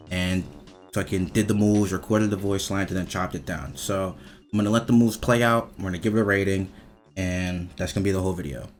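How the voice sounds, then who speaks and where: A young man giving casual, rambling game commentary, hesitant and repetitive, with informal swearing. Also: sits low. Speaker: video game reviewer.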